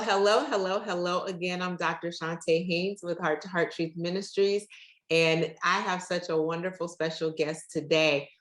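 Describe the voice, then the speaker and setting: A woman's voice: lowish voice, echoey room. Enthusiastic woman hosting a faith podcast. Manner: enthusiastic, preacherly. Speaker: podcast host.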